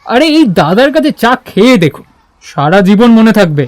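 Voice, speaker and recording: A man's voice: high-pitched, sing-song, moderate pace. Polite, earnest young man, acted by a man. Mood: friendly. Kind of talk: audio story acting. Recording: music bed behind the voice.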